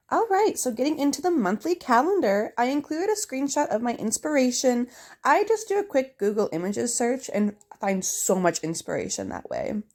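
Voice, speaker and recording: A young woman narrating a journaling video in a chatty, upbeat voice-over, slightly hoarse from a cold. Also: a little high, darkish tone. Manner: chatty, upbeat, talks straight to viewers. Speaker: bullet journal vlogger.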